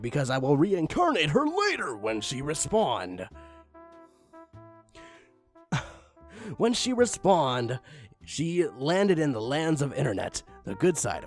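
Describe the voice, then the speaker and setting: A man giving a comedic dramatic reading of fan fiction, theatrical, with exasperated asides. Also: a little high. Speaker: fan fiction reader, channel host.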